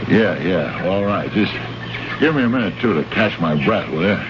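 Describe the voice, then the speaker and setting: An American actor playing a brash, greedy, condescending trade envoy from Earth in an old radio drama, talking in slangy, bullying fashion. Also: male voice, low voice, lively intonation, normal speed. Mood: excited, breathless. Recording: noisy, muffled old radio recording.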